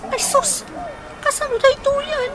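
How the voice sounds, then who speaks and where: A woman's voice: very high-pitched, little pitch movement, a little slow. A woman playing a vain, bossy wife and mother in an Ilocano radio comedy, demanding and whiny. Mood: showing off.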